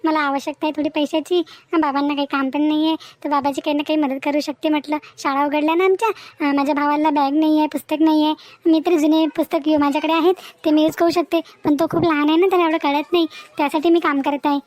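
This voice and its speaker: A woman voicing a poor, proud and earnest schoolgirl in an animated moral story, politely insistent. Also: high-pitched, quick pace, lightly acted. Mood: eager, earnest.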